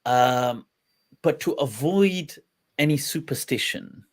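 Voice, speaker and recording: A man hosts a relaxed conversation, and his voice sits at an ordinary middle pitch. He speaks encouragingly and regularly affirms his guest. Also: slow pace. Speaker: host. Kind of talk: casual conversation.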